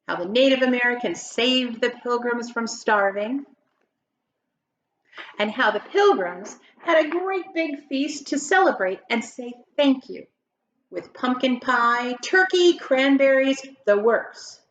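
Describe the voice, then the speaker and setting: High-pitched woman reading aloud, muffled recording. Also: lively intonation, slow, a bit bright, a little hiss or hum. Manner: emphatic, dramatic phrasing with rhetorical questions to listeners. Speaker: teacher reading a picture book aloud. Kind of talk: expressive children's story read-aloud.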